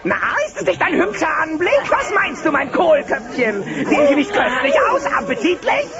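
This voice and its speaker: A wildly swooping, high and gravelly monster villain, gloating and scatterbrained as a gang boss in a children's audio play. The recording is dull, with a loud crowd behind. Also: sing-song, moderate pace, full character voice. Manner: theatrical, gloating, blustering. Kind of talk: children's audio drama acting.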